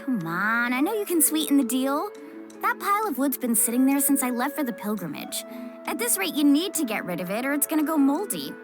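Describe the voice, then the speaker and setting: A woman's voice: high-pitched, very animated, a little fast, music in the background. A woman voicing an upbeat, friendly young surfer girl in a video game, with a breezy, casual manner. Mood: teasing. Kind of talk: animated game voice acting.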